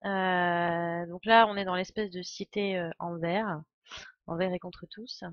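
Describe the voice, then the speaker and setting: A woman's voice: on the low side, fairly even intonation, a little slow, dry recording. A woman giving casual, joking, irreverent live commentary as a game streamer. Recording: dull, lo-fi microphone sound.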